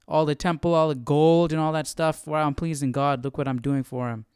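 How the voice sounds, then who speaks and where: A man hosting a Bible study livestream, explaining casually in a conversational, halting way. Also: on the high side, level tone, a little mellow, clear, resonant tone, dry recording, clean studio sound.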